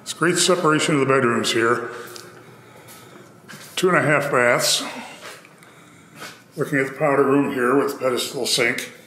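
A man narrating a walkthrough of an empty apartment, describing each room in an upbeat real-estate pitch. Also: moderate pace, pauses often, sharp tone, slight background noise. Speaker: apartment tour host. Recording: echoey empty rooms.

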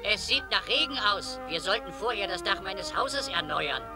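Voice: mid-range voice, lively intonation, moderate pace, lightly acted. A performer voicing a kind, commanding, fatherly old male gnome in a cartoon. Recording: music behind the voice.

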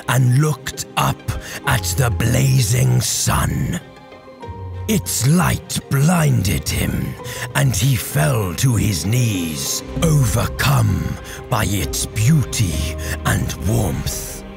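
A man playing a grave, impassioned warrior orator in a theatrical, measured audio drama monologue over music. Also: mid-range voice, vocal fry, full character voice. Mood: awed. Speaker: voice actor.

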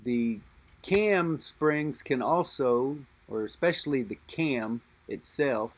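A middle-aged man speaks at an unhurried, deliberate pace, thinking aloud about an old lighter in his hand. His voice sits at an ordinary middle pitch. He pauses and backtracks as he weighs what he sees. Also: lively intonation. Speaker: lighter collector and reviewer. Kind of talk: unscripted show-and-tell narration.

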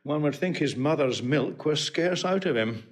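Actor as prissy, exasperated male steward. High voice, very animated melody, precise classical stage diction. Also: moderate pace, lightly acted. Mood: disdainful, pompous. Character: fussy, pompous male steward of a noble household. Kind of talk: classical stage acting of Shakespeare.

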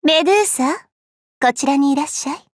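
A woman voicing a petite, haughty, teasing goddess for a game, with elegant, coy, ladylike speech and a soft, breathy tone. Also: high-pitched, a little fast, no echo, studio quality, lightly acted. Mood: gently commanding. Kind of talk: Japanese mobile game character voice lines.

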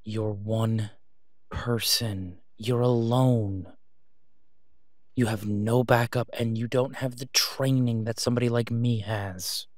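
An exasperated, protective masked vigilante, acted by a man in an audio drama. His mid-pitched male voice is urgent and emphatic, pausing to press each point as he pleads down the phone. The recording is close and dry. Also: steady tone, no room sound, close to an everyday voice. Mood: exasperated, warning. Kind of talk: one-sided phone-call audio roleplay.

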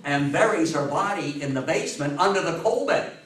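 A man in his fifties giving a history talk to an audience, emphatic and theatrical, with dramatic pauses. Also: a little high, animated, fairly bright tone. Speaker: lecturer. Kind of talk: animated public history talk to a live audience. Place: meeting room with a seated audience, indoors. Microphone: distant camera microphone. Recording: echoey room, recorded from far off.

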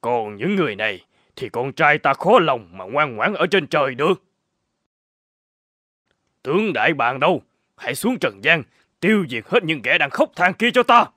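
A man playing a wrathful, commanding old emperor of heaven in an animated fairy tale, stern and imperious. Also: on the high side, normal speed, pauses often, no echo, clean studio sound, exaggerated voice. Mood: cold, stern.

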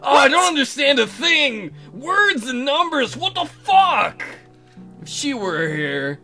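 Dude putting on an insecure schoolboy for a dating game, defensive, dramatic, very animated. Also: male voice, very high-pitched, slow pace, soft background music, pitched above the performer's own voice, full character voice. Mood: frustrated. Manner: melodramatic, defensive. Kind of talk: visual novel dialogue read aloud.

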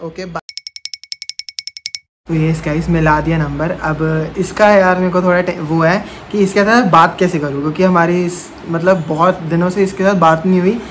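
A man's voice: high-pitched, a bit bright. A young male vlogger chatting casually to his viewers in an informal, slangy way. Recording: clear background noise, traffic hum.

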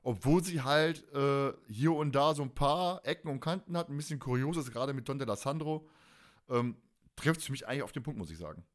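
A man's voice: medium pitch, lively intonation, fairly quick. A man hosting a casual fan podcast, colloquial and teasing, with plenty of opinions. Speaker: podcast host.

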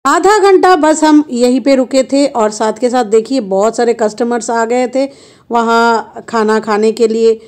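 Slow-talking chatty woman vlogger. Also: fairly high pitch, fairly even intonation, a little mellow. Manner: chatty, playful, unhurried. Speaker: travel vlogger. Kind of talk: casual travel vlog narration. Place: car and roadside stops.

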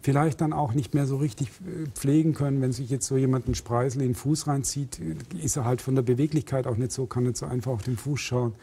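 A man's voice: medium pitch, steady tone, brisk, hardly any pauses, warm tone, breathy edge. A man in his fifties, a medical expert guest explaining things conversationally in a studio interview. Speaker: hand surgeon, expert guest. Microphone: clip-on lapel mic.

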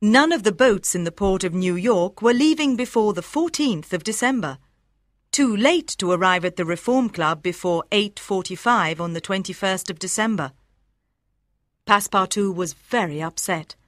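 Female storybook narrator, animated pitch, crisp enunciation, dry close studio sound. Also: normal speed, dry recording. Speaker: audiobook narrator.

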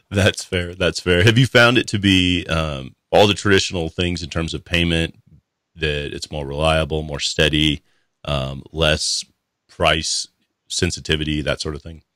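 A man hosting a podcast, asking relaxed, rambling questions of his guest. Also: a bass voice, measured pace, no room sound. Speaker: podcast host. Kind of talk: casual interview chat. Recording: dry close sound.

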